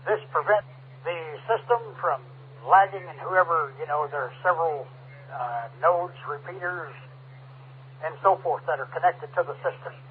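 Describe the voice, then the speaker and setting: Guy running a ham radio net, kinda high voice, tinny two-way radio sound w/ some hum. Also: moderate pace. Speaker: amateur radio net controller. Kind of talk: scripted net preamble with ad-libbed asides. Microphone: radio transceiver microphone. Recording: tinny narrowband radio link, hum and static.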